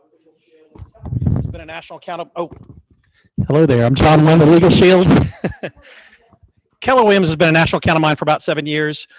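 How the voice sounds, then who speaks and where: Guy doing a quick jokey sales pitch at an office meeting, handheld mic, kinda high voice. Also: dry recording, rough recording. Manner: joking, brisk sales pitch. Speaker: guest speaker, sales representative. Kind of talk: sales presentation at a team meeting.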